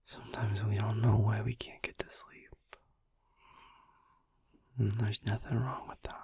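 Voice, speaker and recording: A man playing a gentle, devoted vampire boyfriend in an intimate ASMR roleplay, whispering breathily and soothingly with long pauses. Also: on the low side, little pitch movement, vocal fry, a little hiss or hum. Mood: tender, soothing.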